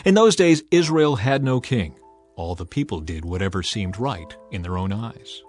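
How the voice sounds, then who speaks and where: A man reading a dramatized Bible as narrator, with measured, storytelling delivery. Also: on the low side, lively intonation, a little mellow, faint music behind. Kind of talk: dramatized scripture narration, scripted.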